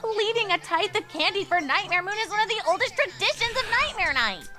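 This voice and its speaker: Bright, high-voiced studious young pony mare, played by a woman. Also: big pitch swings, fairly quick, heavily acted. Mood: indignant. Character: studious young female pony, earnest and orderly. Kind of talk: fan fiction audio drama acting. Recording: music behind the voice.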